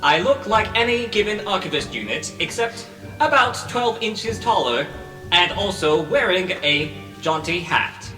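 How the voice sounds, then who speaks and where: A man's voice: sits high, very animated, a little slow, sharp tone. A man playing a tabletop game, giving a playful, neatly prepared introduction to a character. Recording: roomy long reverb, faint music.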